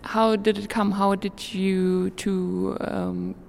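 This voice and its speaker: A woman's voice: mid-range voice, little pitch movement, slow, vocal fry, darkish tone, a little hiss or hum. A woman interviewing two artists, asking curious questions and helping them find words.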